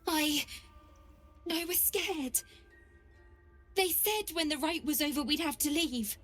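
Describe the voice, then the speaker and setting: A woman playing a timid little tiefling girl, halting and pleading, in a video game. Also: high-pitched, a bit bright, soft background music. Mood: scared, pleading.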